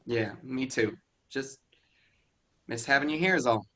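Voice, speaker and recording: A man's voice: medium pitch, measured pace, a bit bright. An actor reading a stubborn rural young man, curt and defensive in a staged play reading.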